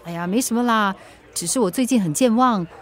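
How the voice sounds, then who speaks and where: An actress with a Singaporean accent playing a flustered, defensive, forgetful mother in a naturalistic radio drama, with expressive colloquial protests. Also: fairly high pitch, a little fast, close to an everyday voice. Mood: downplaying worry.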